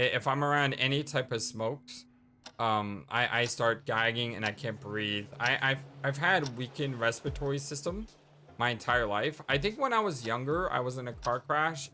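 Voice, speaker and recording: A woman's voice: a bass voice, sharp tone, slight background noise. A woman hosting a let's play, chatting casually and reading game dialogue aloud between personal asides. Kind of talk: casual gameplay commentary.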